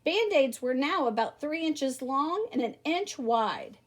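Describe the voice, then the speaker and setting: Woman, high voice, very lively intonation, expressive children's read-aloud, emphatic, talks directly to young listeners. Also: measured pace. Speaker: storybook reader.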